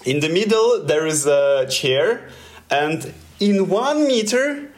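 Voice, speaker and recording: A man with a Russian accent, telling a story in halting English with many fillers and restarts. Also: sits high, lively intonation. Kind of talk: casual storytelling.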